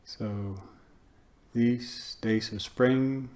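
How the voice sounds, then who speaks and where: A man guiding a meditation, speaking in short phrases with pauses between them. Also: mid-range voice, steady tone, darkish tone. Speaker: meditation teacher. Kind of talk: guided meditation talk.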